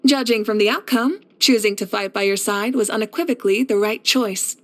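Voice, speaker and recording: A woman acts out a fire archon who leads her people in a video game. Her voice sits at a medium female pitch and carries calm confidence. She speaks in measured, encouraging phrases, composed and kindly as a leader. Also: lightly acted. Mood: earnest, assured. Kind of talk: scripted video game character voice lines.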